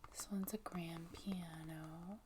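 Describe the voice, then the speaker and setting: Slow, whispering ASMR woman. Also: on the low side, steady tone, background noise. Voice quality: breathy whisper. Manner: soft-spoken, gentle, unhurried. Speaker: host of a soft-spoken ASMR video. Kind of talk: ASMR, casual show and tell.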